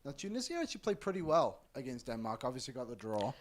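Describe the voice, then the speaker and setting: A man hosting a sports podcast, chatting casually and reading out betting odds in a lively way. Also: mid-range voice, quick pace. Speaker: podcast host.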